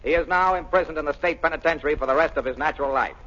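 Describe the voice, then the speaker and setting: Bright-voiced formal waxworks lecturer. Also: male voice, a little high, very fast. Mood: pompous showmanship. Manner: oratorical, formal. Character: male waxworks lecturer, pompous guide. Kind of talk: 1930s radio acting, formal lecture patter. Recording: dull, hissy 1930s radio recording.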